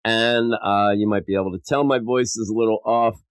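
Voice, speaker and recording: A sick, stuffed-up man hosting a podcast in a rambling, self-mocking way, his voice a little rough and wavering. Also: fairly low pitch, fairly even intonation, fairly quick, no room sound, studio quality. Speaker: podcast host. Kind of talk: casual podcast monologue.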